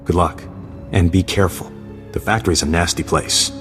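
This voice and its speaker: A man's voice: low-pitched, steady tone, normal speed, music in the background, lightly acted. An actor playing an eager, nervous, idealistic young man working as a mole, chatty and keen to please in a game cutscene. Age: young adult. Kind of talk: video game cutscene acting. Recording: reverberant hall sound.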